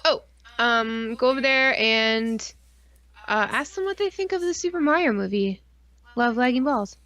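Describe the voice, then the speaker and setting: A woman's voice: fairly high pitch, expressive, moderate pace, fairly bright tone. A woman co-hosting a casual live stream, bantering in an irreverent, rambling, sweary way. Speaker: podcast co-host on a live stream.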